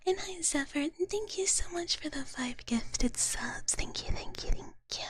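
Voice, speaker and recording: A woman whispering softly and breathily in a gentle, doting big-sister manner, chatting as an ASMR livestreamer close to the microphone and often repeating her words. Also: very high-pitched, expressive, moderate pace, no echo. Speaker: ASMR streamer. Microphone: close microphone, dry sound.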